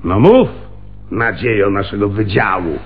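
An actor playing a seasoned, wry and commanding police lieutenant in a Polish radio play. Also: male voice, on the low side, expressive, a little slow, husky, highs cut off. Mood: ironic, animated.

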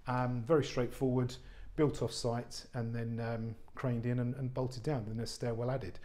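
A man, an estate agent giving an unscripted walk-through tour of a warehouse flat, chatty and full of fillers and restarts. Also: mid-range voice, normal speed. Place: large warehouse flat, indoors. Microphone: handheld phone camera, speaker off screen.

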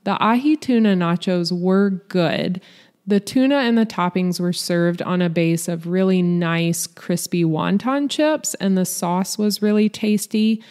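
Lower-voiced woman doing a chill food review voiceover, matter-of-fact. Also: measured pace, a little mellow, clean tone. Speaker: vlog host, restaurant reviewer. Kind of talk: scripted voice-over review.

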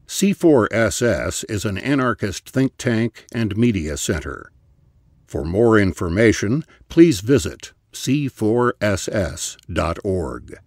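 A man announces a podcast from a script in a fairly low voice. His pitch moves in a lively, animated way, and the sound is close and dry. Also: slow pace, no room sound. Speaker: podcast intro and outro announcer.

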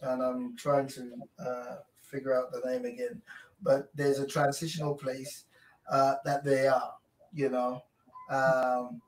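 A man in his forties, a pastor, answering questions in a measured, hesitant way over a video call from home. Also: medium pitch, little pitch movement. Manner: measured, hesitant, many fillers. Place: home room, indoors. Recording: echoey room, far from mic.

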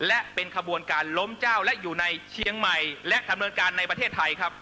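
A man's voice: high voice, quick pace, music in the background. A man delivering a fiery political speech to supporters, accusatory and emphatic, constantly pressing listeners with rhetorical questions. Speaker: political rally speaker.

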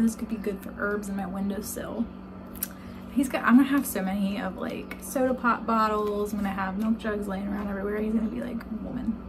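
A woman's voice: medium pitch, background noise. A young woman in her twenties chatting casually as she shows homemaking projects, upbeat with frequent ums, filmed on a phone at arm's length at home. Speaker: homemaker vlogger. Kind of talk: casual how-to chat. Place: home kitchen and outdoors in snow.

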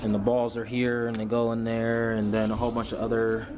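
A man's voice: mid-range voice, completely flat delivery, fairly quick, noisy background. Laid-back young man vlogging to camera. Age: young adult. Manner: laid-back, talks to camera, commands dogs firmly. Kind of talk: casual vlog chat. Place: car park outdoors, then house indoors. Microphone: handheld camera at arm's length.

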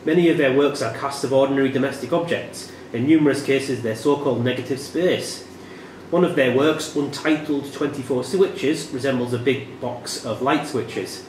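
A man with a mid-range voice reads quiz questions deliberately. He sounds like a pub quiz host in a reverberant room, with some noise around him. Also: mid-range voice, darkish tone. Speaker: quiz master.

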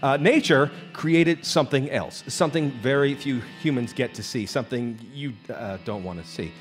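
An actor playing a nervous, evasive labor company representative in a live radio drama, stammering and officious. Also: male voice, medium pitch, normal speed, close to an everyday voice. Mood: earnest, uneasy. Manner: stammering, hesitant, apologetic and officious. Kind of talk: live old-time radio drama acting. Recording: live stage performance with background music. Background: music.

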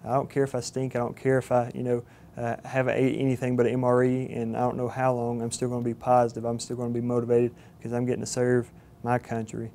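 Earnest young Georgia man, flat delivery. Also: medium pitch, creaky, slight background noise. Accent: Southern US, Georgia. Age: 20s. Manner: earnest, halting with frequent fillers. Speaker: cadet candidate. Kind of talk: interview testimonial. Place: studio with plain backdrop. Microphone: lapel mic.

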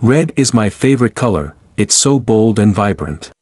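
A man with a somewhat low voice reads short answers for a language lesson, each line carefully paced. The sound is dry and close, with no room around it. His delivery stays clear and even. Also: no room sound. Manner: clear, even, deliberate reading. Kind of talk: slow repeated example sentences for learners.

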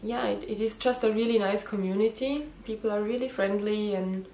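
A woman's voice: mid-range voice, fairly even intonation, a little fast, a little mellow, a little hiss or hum. Austrian woman chatting in an echoey room. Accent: Austrian German. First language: German. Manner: hesitant, many ums and restarts. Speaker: knitting podcast host. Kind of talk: casual chat to camera. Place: living room at home. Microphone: camera mic, head and shoulders framing.

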